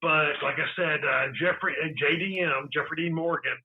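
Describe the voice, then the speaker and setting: A raspy-voiced man chatting excitedly on a casual podcast, repeating words as he rushes on. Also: fairly high pitch. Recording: tinny phone-line sound.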